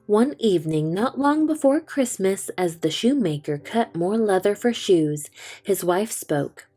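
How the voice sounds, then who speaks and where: A woman reading a children's storybook aloud, slow, gentle and expressive. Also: medium pitch. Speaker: children's book reader. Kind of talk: storytime read-aloud narration.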